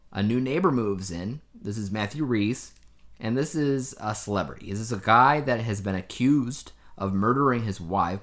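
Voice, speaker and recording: A man's voice: fairly low pitch, a bit bright. A man co-hosting a podcast in casual chat, telling things in a conversational way with emphatic asides. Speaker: podcast co-host. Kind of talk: casual chat reviewing a TV show.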